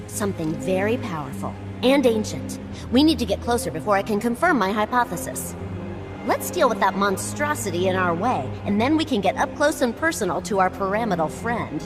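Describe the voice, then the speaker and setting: Excitable woman playing an inquisitive explorer, very animated. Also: mid-range voice, brisk, music in the background, lightly acted. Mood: confident. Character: eager, curious, impatient female researcher explorer. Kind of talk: scripted video game dialogue.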